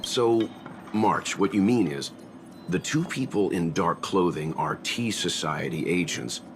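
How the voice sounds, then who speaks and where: An actor voicing a calm, reserved young man who speaks in a level, matter-of-fact and dry way, in a video game dub. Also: sits low, a little slow, a little hiss or hum. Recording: game cutscene with music behind.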